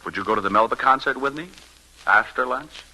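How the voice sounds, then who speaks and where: An actor playing an eager, flirtatious newspaper reporter in 1940s radio style, brisk and persistent. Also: male voice, mid-range voice, bright, forceful tone. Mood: eager, charming. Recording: noisy vintage 1940s radio recording.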